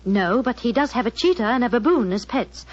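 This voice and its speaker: An actress playing a polite, anxious young gentlewoman in a 1940s radio drama, earnest and compliant. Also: fairly high pitch, lively intonation, brisk. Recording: dull old 1940s radio recording.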